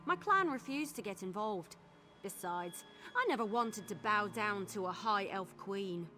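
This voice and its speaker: Woman playing a lively, wry adventurer scout. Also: mid-range voice, unhurried, natural voice. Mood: wary, conversational. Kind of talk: video game character acting. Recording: game music under the voice.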